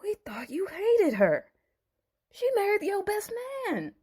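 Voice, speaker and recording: A woman's voice: extremely high pitch, big pitch swings, slow, warm tone, pitched above the performer's own voice, full character voice. A woman voicing a nervous, placating father-in-law who coaxes and pleads in a Bible storybook dramatization. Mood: nervous, coaxing. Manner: hesitant, pleading, coaxing.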